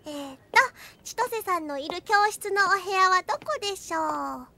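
A woman's voice: extremely high pitch, moderate pace, gravelly, very noisy. An actress playing a shy, scheming girl with quirky, overly polite prim speech in an anime audio drama. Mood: plotting. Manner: prim, redundant polite phrasing ending in desu wa.

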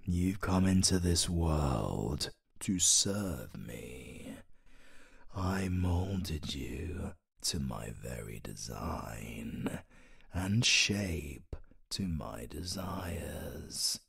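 A man's voice: rumbling low, big pitch swings, vocal fry, slightly rough, exaggerated voice. A British man playing a flirtatious, sardonic supervillain in a romantic comedy audio roleplay, drawling and teasing theatrically. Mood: menacing. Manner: slow, drawling, teasing, dramatic.

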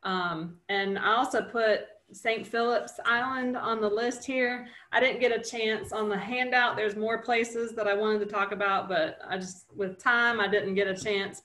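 A middle-aged woman presenting a nature webinar over a video call, relaxed and chatty, with many fillers and restarts. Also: medium pitch. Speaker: wildlife nonprofit director presenting a webinar. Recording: roomy video-call audio.

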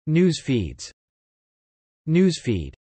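A male narrator reads English vocabulary and example sentences for learners. His pitch moves around a lot as he repeats each line steadily. The sound is dry, with no room around it. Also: huge pitch swings, drawn-out words, dark tone, breathy edge, dry recording. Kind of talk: reading example sentences and definitions aloud.

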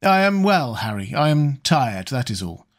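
A man reading aloud and voicing a weary, melancholy young gentleman who speaks listlessly. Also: medium pitch, very animated, normal speed, lightly acted. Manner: sighing, listless.

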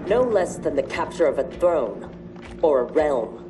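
An actress playing a stern, principled armored warrior in a video game, with clipped, formal, matter-of-fact delivery. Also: female voice, sits low, very animated, a little slow, noisy background. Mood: firm, cold. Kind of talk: video game cutscene acting.

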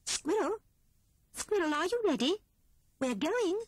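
Woman playing little female storybook rabbit: kindly, housewifely, British, high swooping pitch. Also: very animated, unhurried, dry recording, studio quality, full character voice. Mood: calling out. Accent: British English.

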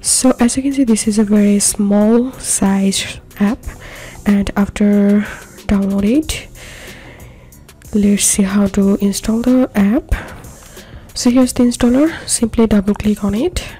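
A woman narrating a scripted how-to software tutorial as host, giving simple step-by-step instructions. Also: mid-range voice, steady tone, slow, breathy edge. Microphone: voice-over for screen recording. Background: background music.

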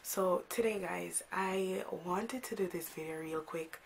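A woman in her thirties with a hoarse voice from a cold, chatting casually to camera in her bedroom about a wig she ordered. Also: lowish voice, measured pace, slight background noise. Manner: chatty, animated, gestures a lot with her hands. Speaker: beauty vlogger. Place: bedroom at home, indoors.

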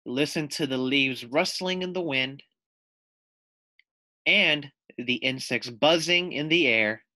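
A man voicing a small male lizard in a storybook, calm and unbothered, speaking in a slow, measured way. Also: on the high side, dry recording, full character voice.

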